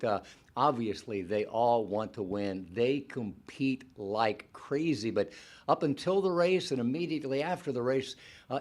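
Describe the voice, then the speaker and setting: A man hosting a show, presenting in a measured commentary style. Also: mid-range voice, darkish tone. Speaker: show host. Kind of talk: studio presenting.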